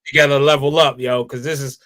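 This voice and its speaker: A man nearly fifty co-hosting a casual hip-hop chat, rambling and full of "you know", sinking low as he reminisces. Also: flat delivery, a little fast, a bit bright, no room sound. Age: 40s. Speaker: podcast co-host.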